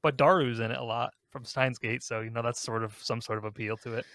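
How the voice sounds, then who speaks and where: A man hosts a casual podcast discussion in a mid-pitched voice. The sound is dry and close, with very little room to it. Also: fairly even intonation, fast talker, fairly bright tone, no echo. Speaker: podcast host.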